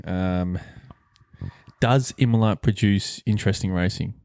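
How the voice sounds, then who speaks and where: A man's voice: sits low, a little slow, a little mellow, smooth, no room sound, studio quality. A man hosting a podcast with casual, rambling sports chat and bantering humour. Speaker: podcast host.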